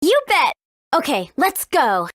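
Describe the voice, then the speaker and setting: A woman's voice: high-pitched, very animated, slow, a bit bright, dry recording, studio quality, exaggerated voice. A woman voicing a confident, cheeky teenage heroine in a video game, breezy and persuasive. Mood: breezy, curious. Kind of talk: video game character acting.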